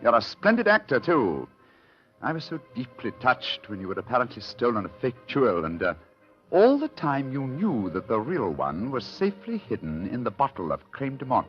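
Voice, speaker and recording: A muffled vintage radio drama recording with music. a shrewd British gentleman sleuth, courteous and theatrical. His delivery swings widely in pitch and sounds grandly amused. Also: huge pitch swings, moderate pace. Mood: amused, triumphant. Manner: suave, amused, grandly formal. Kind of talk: old-time radio mystery acting.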